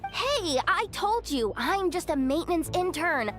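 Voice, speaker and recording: High-voiced, cocky young treasure-hunting thief. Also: female voice, big pitch swings, measured pace, faint music behind, heavily acted. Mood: breezy scheming. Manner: breezy, boastful, theatrical. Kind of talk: video game character acting.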